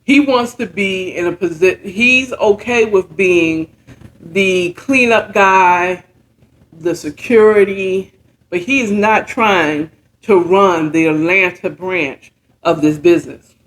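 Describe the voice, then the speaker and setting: A woman informally retelling a story in a halting, conversational way, often checking in with her listeners. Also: lowish voice, low-quality recording. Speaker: storyteller retelling a book chapter.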